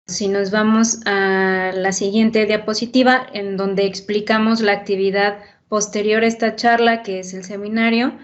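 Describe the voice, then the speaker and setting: A woman organizing a course, calmly explaining the next assignment to participants. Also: medium pitch, dull audio.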